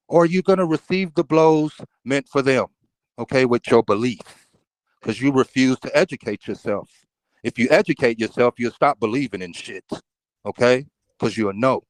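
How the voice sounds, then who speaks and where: A man's voice: mid-range voice, lively intonation, moderate pace, no room sound, rough recording. A man speaking in a live group discussion, preachy and emphatic, often tagging "okay?". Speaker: participant.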